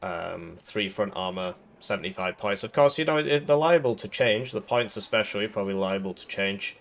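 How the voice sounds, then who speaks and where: A man's voice: lowish voice, animated, moderate pace, creaky, fairly bright tone. A man with a cold, sounding a little throaty, giving casual rambling commentary over a strategy game with lots of fillers and restarts. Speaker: gaming video creator.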